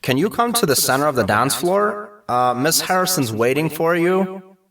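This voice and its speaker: A man's voice: fairly high pitch, lively intonation, moderate pace, a bit bright, recorded from a distance, pitched above the performer's own voice. A man voicing a playful young male singer making a hesitant, teasing announcement from a stage. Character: playful young male singer hailing someone over a microphone.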